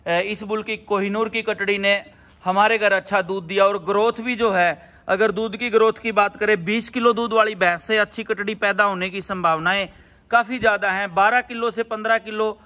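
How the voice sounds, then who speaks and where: A man's voice: high voice, bright, forceful tone. A man interviewing at a farm, with an agreeable style and frequent affirming interjections. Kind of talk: casual interview.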